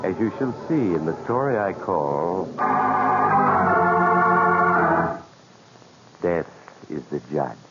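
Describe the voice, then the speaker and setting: Sinister radio mystery narrator, dull old recording. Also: male voice, low voice, very animated, moderate pace. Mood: grave storytelling. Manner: theatrical, ominous, inviting. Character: sinister male mystery narrator, sly and ominous. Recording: dull 1940s radio recording with loud music.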